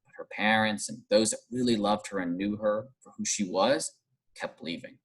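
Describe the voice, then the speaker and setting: A man teaching young children through an expressive read-aloud, pausing to explain and ask encouraging guiding questions. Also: on the low side, husky. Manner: animated, encouraging, explanatory, pauses to question listeners. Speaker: primary school teacher reading aloud.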